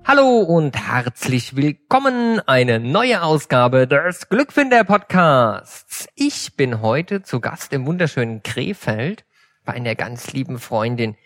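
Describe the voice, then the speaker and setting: A man's voice: mid-range voice, big pitch swings, slow pace, fairly bright tone, dry recording, faint music behind. Male interview host, effusive and upbeat, dry studio sound. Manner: enthusiastic, gushing, laughs often. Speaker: podcast host. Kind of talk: interview podcast.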